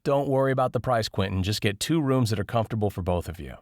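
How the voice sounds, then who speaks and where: A male narrator plays a middle-aged man in an audiobook, assertive and generous. His low voice is matter-of-fact as he talks to younger men, in a dry recording. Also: lively intonation, quick pace, no echo, natural voice. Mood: firm, stern. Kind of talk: audiobook character dialogue.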